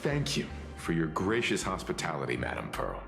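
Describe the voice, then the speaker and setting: A man voicing a calm, courteous mature gentleman traveller in a video game, measured and thoughtful. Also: a bass voice, vocal fry, echoey room, music in the background, exaggerated voice. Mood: gracious.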